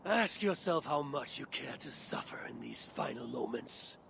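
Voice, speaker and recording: Game hero, grim determined warrior, gravelly and creaky, clear ambient noise behind. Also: male voice, mid-range voice, expressive, moderate pace, a bit bright. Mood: demanding.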